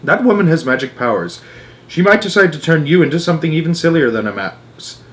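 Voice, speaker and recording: An old storybook grandmother, voiced by a man reading aloud. She is unruffled and quietly sly, stating things as plain fact with a lively rise and fall. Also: fairly high pitch, a little fast, pitched above the performer's own voice. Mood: mischievous. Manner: calm, unruffled, matter-of-fact.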